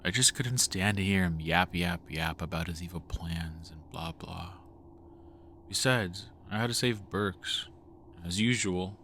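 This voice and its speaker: Bright, casual low-voiced male reporter , acted by a man. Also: measured pace, a little hiss or hum, heavily acted. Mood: wry. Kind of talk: radio drama acting.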